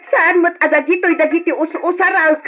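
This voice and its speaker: A woman's voice: very high-pitched, fairly even intonation, normal speed, fairly bright tone. An actress playing a confused, forgetful elderly mother, muttering and repeating herself with an Ilocano accent in an old radio drama. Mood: agitated fussing. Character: confused, forgetful elderly mother fussing about cats, pots and rice. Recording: muffled lo-fi radio drama recording.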